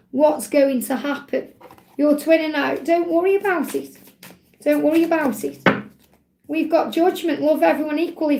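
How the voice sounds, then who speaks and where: A woman, a tarot reader hosting a live Q&A, talking in a chatty, reassuring way while her voice grows croaky. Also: sits high, darkish tone, reverberant. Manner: chatty, reassuring, repeats phrases, ends points with "okay?". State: voice getting croaky. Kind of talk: live-stream tarot reading, reading out chat messages.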